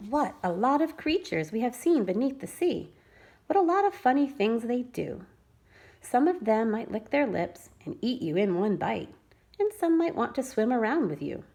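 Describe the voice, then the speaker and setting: Expressive, gravelly-voiced preschool teacher. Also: female voice, a little high, normal speed. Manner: expressive, sing-song storytelling. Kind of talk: reading a picture book aloud to children.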